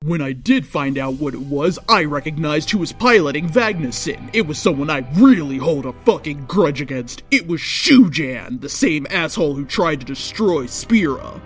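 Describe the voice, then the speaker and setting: Female storyteller, theatrical delivery with drawn-out emphasis, reading fan fiction over a light music bed. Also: sits low, expressive, breathy edge. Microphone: microphone without pop filter. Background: faint music.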